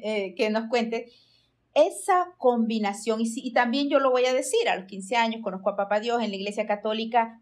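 A woman hosting an interview, talking in an informal, effusive and conversational way. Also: a little high. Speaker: interview host.

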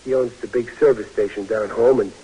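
An actor playing a big, good-natured, bashful young army private, talking in a folksy, stammering way in 1940s radio drama. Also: male voice, medium pitch, fairly even intonation, fairly quick, warm tone, breathy edge, rough recording. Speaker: soldier.

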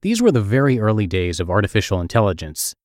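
A man's voice: on the low side, very animated, fast, a little mellow, dry recording, clean studio sound. A middle-aged man with a Russian accent reading his own essay aloud in a wry, self-deprecating storytelling manner. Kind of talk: read-aloud essay narration.

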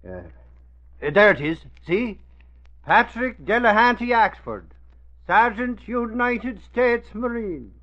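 Radio play role: gullible, blustering Irishman, bright forceful high voice, folksy and indignant, old-time style. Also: lively intonation, slow, highs cut off, full character voice. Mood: proud. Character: excitable, gullible, good-natured Irish man with mangled vocabulary. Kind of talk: 1940s radio drama acting. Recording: band-limited old radio recording.

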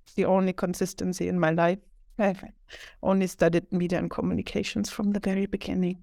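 A woman, answering interview questions as an author in a thoughtful, measured way with frequent fillers. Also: lowish voice, little pitch movement, gravelly, mellow, no echo, clean studio sound. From Germany. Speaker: author, media scholar.

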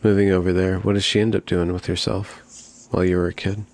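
Podcast host guy, deep voice, keeps asking quick curious questions. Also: flat delivery, creaky, a little mellow. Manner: curious, asks short follow-up questions. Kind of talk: casual interview.

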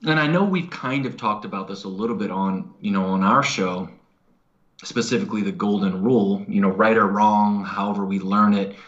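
A man's voice: lowish voice, normal speed, a bit bright, lo-fi sound. An American man, a regular co-host, chatting casually and conversationally about his own experiences. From United States.

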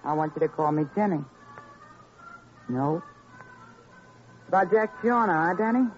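A languid, commanding seductress, whose teasing lines swing widely in pitch. Faint music plays on the dull vintage radio drama recording. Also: female voice, animated, measured pace. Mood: seductive, teasing. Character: languid, seductive, possessive married woman, femme fatale. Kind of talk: old-time radio crime drama acting.

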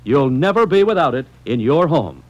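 A man's voice: fairly high pitch, very animated, moderate pace, poor audio. A man announcing a scripted old-time radio advertisement in a polished, persuasive way, speaking to listeners as friends.

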